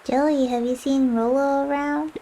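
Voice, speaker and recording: A woman's voice: high voice, fairly even intonation, unhurried, warm tone, slight background noise, pitched above the performer's own voice. A woman voicing a guarded, worried young boy in a storybook video game, hesitant and dryly wry. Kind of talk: video game character read-aloud.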